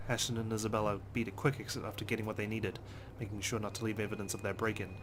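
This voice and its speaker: Guy with a low voice reading a visual novel out loud, sweary, bit of noise behind him. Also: flat delivery, fast. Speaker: let's play host. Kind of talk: reading visual novel narration aloud with side comments.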